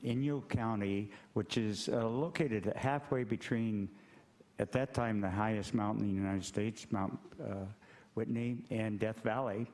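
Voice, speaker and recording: A planning commissioner introducing himself at a meeting, deliberate and explanatory. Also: male voice, fairly low pitch, steady tone, a little mellow.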